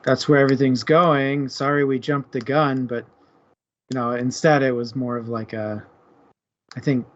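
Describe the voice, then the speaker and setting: A man's voice: mid-range voice, flat delivery, normal speed, highs cut off. A man co-hosting a casual book discussion, speaking informally and loosely.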